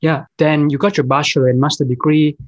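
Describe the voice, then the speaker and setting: A man's voice: a little high, fairly even intonation, no echo, highs cut off. A man hosting a podcast interview, asking questions with occasional fillers. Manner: quick, reads questions with fillers. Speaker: podcast host.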